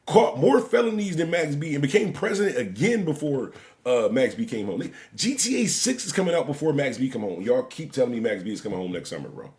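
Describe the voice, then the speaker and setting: Animated man in his forties, rambling. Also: mid-range voice. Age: 40s. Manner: rambling, joking, repeats himself; "bro" and "gang" at line ends. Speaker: stream host. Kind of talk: casual live-stream commentary.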